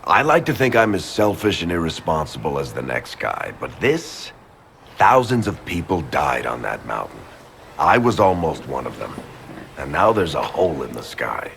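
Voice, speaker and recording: An actor playing a wry, roguish storyteller in a fantasy game, speaking with dry, sardonic understatement. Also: male voice, extremely low pitch, sing-song, normal speed, creaky, a bit bright, slight background noise, exaggerated voice. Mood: grim, wry. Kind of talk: scripted video game dialogue.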